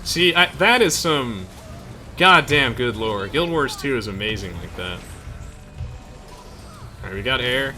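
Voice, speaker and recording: The excitable guy leading the group through a let's play, bright voice swinging up and down over game music. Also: mid-range voice, unhurried, long pauses. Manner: enthusiastic, gushing. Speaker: gaming video host. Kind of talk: casual let's play commentary.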